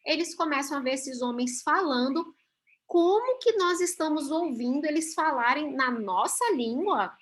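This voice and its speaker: A Brazilian woman leads a Bible class over a video call. Her voice sits high and she speaks slowly and deliberately. She explains patiently and keeps checking that her listeners follow along. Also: lively intonation. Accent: Brazilian Portuguese.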